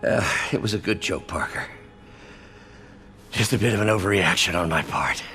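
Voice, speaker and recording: An actor playing a weary, embittered middle-aged scientist in a naturalistic video game cutscene. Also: male voice, deep voice, moderate pace, bright, forceful tone. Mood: apologetic. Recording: music behind the voice.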